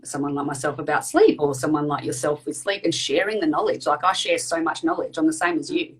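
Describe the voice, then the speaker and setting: A woman in her thirties hosts a casual live video chat with a low, grounded voice. She talks fast and chattily, full of fillers and restarts. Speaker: host.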